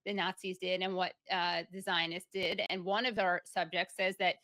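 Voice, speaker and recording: A woman's voice: fairly low pitch, flat delivery, moderate pace, bright, forceful tone, no room sound. A young woman hosting an interview, asking questions casually with many fillers and restarts. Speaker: podcast host.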